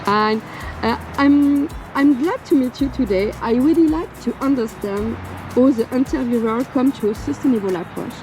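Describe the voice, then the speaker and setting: A woman hosting an interview as a non-native English speaker, with halting, non-idiomatic phrasing. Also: a little high, poor audio. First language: not English. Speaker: host interviewer. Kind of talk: interview with prepared introduction. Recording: music behind the voice.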